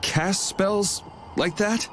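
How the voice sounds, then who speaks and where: A man voicing a cool, steady young hero in an anime-style game, speaking in a dry, unhurried way. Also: sits high, a little hiss or hum, full character voice.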